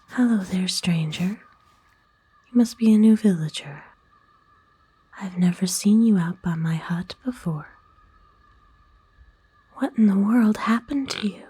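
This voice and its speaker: A woman voicing a kind, nurturing forest witch in a soothing, folksy, unhurried breathy whisper for an ASMR roleplay. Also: mid-range voice, warm tone, exaggerated voice. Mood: curious, concerned. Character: kind, nurturing forest witch, playful and gently old-fashioned. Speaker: ASMR voice actor.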